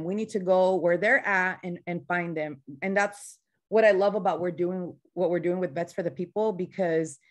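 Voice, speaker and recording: A woman veteran organizer with a slight Spanish-influenced accent, speaking passionately and bluntly in a casual online panel discussion. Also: medium pitch.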